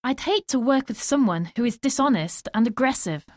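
A woman reading scripted dialogue lines for a language lesson, slow and clearly spoken. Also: a little high, expressive, no echo, clean studio sound.

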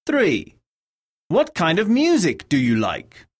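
Woman doing english lesson narration, super slow and careful, a bit low and raspy. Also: huge pitch swings. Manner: deliberate, enunciated reading with pauses between items. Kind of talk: scripted English lesson narration, reading words and dictation.

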